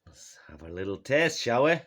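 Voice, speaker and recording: A man's voice: mid-range voice, big pitch swings, normal speed, a bit bright. A man with a Yorkshire accent hosting a mock cooking show at home in a kitchen, joking at himself and chuckling between lines. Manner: jokey and self-mocking, chuckling between lines. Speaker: joke cooking video host.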